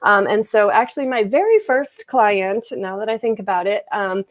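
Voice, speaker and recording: A woman's voice: mid-range voice, expressive, normal speed, no echo. A woman translator and interpreter answering interview questions in a casual, hesitant way, with many fillers and restarts over a video call.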